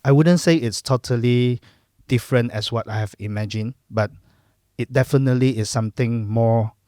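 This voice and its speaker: A middle-aged Malaysian man whose first language is Cantonese, chatting in a relaxed, reflective way as a podcast guest. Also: medium pitch, normal speed, no room sound, clean studio sound. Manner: relaxed, reflective, lots of fillers and "lah" particles. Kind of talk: casual interview chat.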